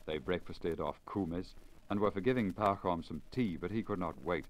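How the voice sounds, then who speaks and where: A man reading a literary story in a measured, formal, carefully enunciated 1950s radio storytelling manner. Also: low-pitched. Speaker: story reader, radio broadcaster. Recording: crackly deteriorated 1950 lacquer disc recording. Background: surface crackle and noise.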